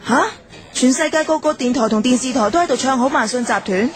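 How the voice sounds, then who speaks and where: Blunt, highish-voiced businesswoman, played by an actress. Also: brisk, music in the background, lightly acted. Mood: impatient. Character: curt, savvy businesswoman, impatient and blunt. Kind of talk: Cantonese radio drama acting.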